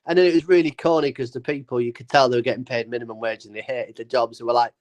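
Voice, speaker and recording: A hoarse, husky man, rambling and joking with swears in a casual livestream chat. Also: mid-range voice, steady tone, quick pace, no room sound. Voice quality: husky, coughing. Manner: rambling, jokey, swears, mimics people. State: hoarse smoker's voice. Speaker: co-host.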